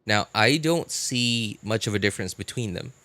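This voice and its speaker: A man casually chatting as a live-stream host, answering viewers in a halting way and repeating his phrases. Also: lowish voice, dry recording, faint music behind. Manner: halting, repeats phrases, animated incredulous reactions.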